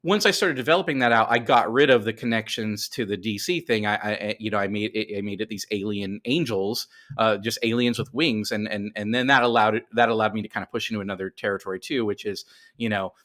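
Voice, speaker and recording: A man with a fairly low voice talks casually as an interview guest. He explains creative ideas by thinking aloud, often doubling back to rephrase himself in a halting flow. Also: fairly bright tone. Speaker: podcast guest, writer of comics and screenplays.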